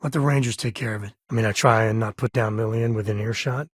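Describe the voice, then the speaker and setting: A roguish good-hearted space smuggler dad in a video game, really raspy husky voice, wry casual talk, dry studio sound. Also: male voice, fairly low pitch, fairly even intonation, fast, no echo. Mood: weary, earnest. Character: roguish, good-hearted space-faring ex-ranger and devoted father. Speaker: video game companion character. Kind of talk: video game voice acting, conversational dialogue.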